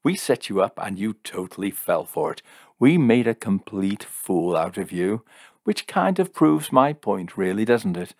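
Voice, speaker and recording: A man's voice: sits low, normal speed, gravelly, no room sound, studio quality. A man voicing a hot-tempered, sneering young man in an audiobook story, blustering and sarcastic. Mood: gloating, mocking.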